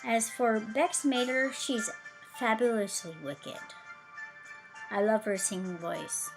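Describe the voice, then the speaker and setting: Slow-paced woman narrating over music. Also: a little high, steady tone, low-quality recording. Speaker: vlogger narrating a convention scrapbook.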